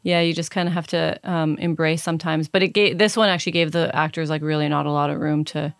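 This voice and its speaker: A woman's voice: sits low, steady tone, fast talker, dry recording. A woman in her thirties, a native Serbian speaker, talking casually and thoughtfully as an interview guest, with many fillers and restarts into a studio mic. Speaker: cinematographer guest. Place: dark podcast studio. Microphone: studio mic on boom arm, headphones.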